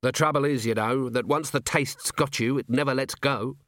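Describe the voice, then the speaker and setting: A man's voice: mid-range voice, steady tone, normal speed, a bit bright, no echo, pitched above the performer's own voice, close to an everyday voice. A man voicing a cynical, world-weary middle-aged police commander in an audiobook, blunt and sardonic. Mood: exasperated.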